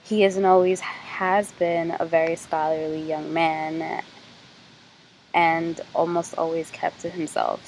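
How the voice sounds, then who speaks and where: A woman's voice: low-pitched, gravelly, a little hiss or hum, rough recording. A young American woman giving a class presentation and interviewing a guest, reading prepared figures with small stumbles. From United States. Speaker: student interviewer.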